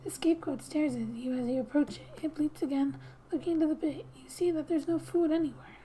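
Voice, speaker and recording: A woman hosts a quiet gaming ASMR read-through in a high, mellow voice. She speaks softly and breathily, often slipping toward a whisper. Her delivery is gentle and unhurried, with playful asides, over background music. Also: steady tone. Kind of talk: soft-spoken ASMR game narration, reading text aloud with asides.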